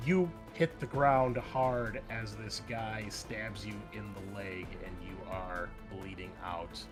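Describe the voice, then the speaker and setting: A man's voice: fairly low pitch, fairly even intonation, takes it slowly, loud music behind the voice. A man running a tabletop game, narrating action dramatically in casual, profanity-laced speech. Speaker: game master.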